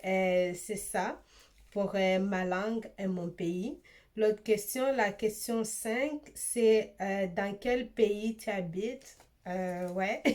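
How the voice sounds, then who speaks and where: A young woman from eastern Congo whose first language is Swahili, answering viewers' questions about her hair channel in a casual vlog, pausing often with fillers. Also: medium pitch, darkish tone. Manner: hesitant, many "euh" fillers and restarts. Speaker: hair care YouTuber.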